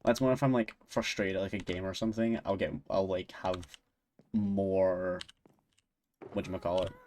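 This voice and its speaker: Scottish streamer, low-ish voice, rambling chatter, faint keyboard typing behind. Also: male voice, fairly even intonation, normal speed, a little mellow, a little hiss or hum. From Scotland. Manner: chatty and rambling, laughs often. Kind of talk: casual chat while gaming and reading chat.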